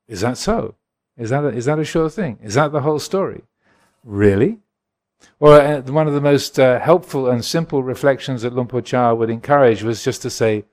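A man in his sixties from England, a Buddhist monk giving a gentle, reflective dharma talk and answering questions at a retreat. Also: mid-range voice. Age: 60s. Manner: gentle, reflective, chuckles often.

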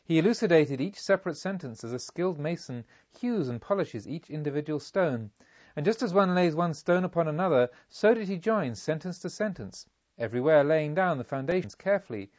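A man reading scripted literary narration in a solemn, deliberate and measured manner. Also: fairly high pitch, expressive, a little mellow, lo-fi sound. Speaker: audiobook narrator.

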